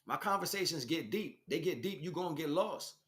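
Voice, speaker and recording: A man's voice: on the high side, lively intonation, brisk, fairly bright tone. A man giving an impromptu spiritual talk as a teacher, speaking emphatically in short, repetitive bursts. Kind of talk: impromptu spiritual monologue, preaching.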